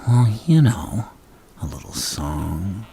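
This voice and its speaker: Crazy lovestruck supervillain asmr, super slow and halting, gravelly breathy whispery, creepy polite, bit of noise behind. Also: male voice, sits low, sing-song, mellow, exaggerated voice. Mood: nervous, flirtatious. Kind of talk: ASMR villain roleplay.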